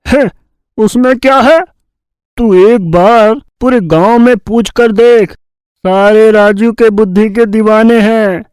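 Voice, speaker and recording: Sly, boastful village crook for a cartoon fairy tale male actor, high register, unhurried bragging. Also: a little mellow, clean tone, no room sound. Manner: bragging, drawn-out cajoling.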